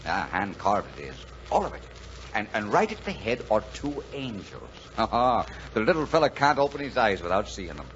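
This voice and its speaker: A gruff, folksy delivery driver with a big heart, his pitch leaping and dipping as he blusters. It is old radio drama, dull in sound with a faint layer of noise. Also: huge pitch swings, normal speed, fairly bright tone. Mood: warm, jovial. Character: gruff, kindhearted Irish-American bachelor delivery driver. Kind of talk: 1940s radio drama acting. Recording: dull, noisy 1940s radio recording.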